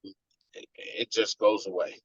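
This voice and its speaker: A man's voice: lowish voice, little pitch movement, unhurried, slightly rough, no echo, highs cut off. A man co-hosting a casual chat, speaking in a heated, emphatic way.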